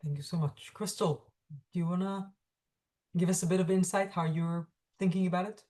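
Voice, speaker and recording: A woman host giving a scripted introduction and interview questions in a formal, measured, articulate way. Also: on the low side, fairly even intonation, dark tone.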